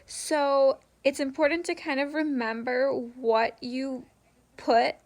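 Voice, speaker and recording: A woman's voice: high voice, flat delivery, slow. A young woman chatting casually to viewers as she shares interview tips, often dropping "like" into her sentences. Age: young adult. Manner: conversational, frequent "like" as filler. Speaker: vlogger sharing interview tips.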